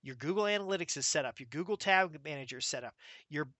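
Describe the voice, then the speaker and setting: An American man hosting a solo business podcast, talking in a brisk, emphatic way and rattling off lists. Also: a little high, animated, sharp tone, no room sound. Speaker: podcast host.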